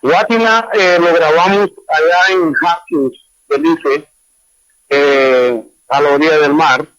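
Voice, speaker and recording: Honduran musician on a dull, dry call line. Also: male voice, fairly high pitch, takes it slowly, no room sound. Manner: relaxed, rambling with many fillers. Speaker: guest musician. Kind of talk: online class interview, casual storytelling.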